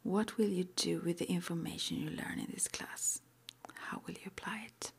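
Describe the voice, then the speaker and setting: A woman whispering an ASMR roleplay interview, playing a witch school interviewer with gentle, reassuring, hesitant phrasing. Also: on the low side, steady tone, normal speed, gravelly.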